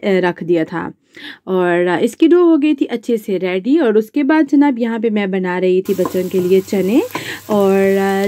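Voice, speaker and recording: A woman's voice: on the high side, mellow, dry recording, studio quality. A woman, chatting politely through a home cooking video as a mother and vlogger. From Pakistan. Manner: chatty, polite with frequent "janab" and "ji". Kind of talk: casual cooking voice-over.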